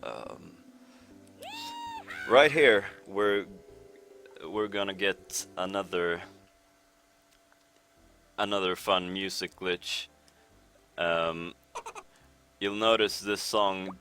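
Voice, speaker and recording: A young Swedish man with a Swedish accent commentating his speedrun in a relaxed, rambling way, with many pauses and fillers. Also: sits low. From Sweden. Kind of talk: casual live commentary over gameplay. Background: game music.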